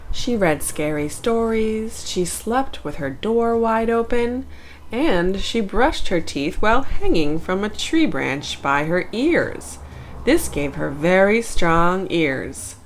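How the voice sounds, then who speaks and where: A woman narrating a children's picture book aloud in an expressive storytelling style. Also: lowish voice, slight background noise. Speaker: storybook narrator, channel host.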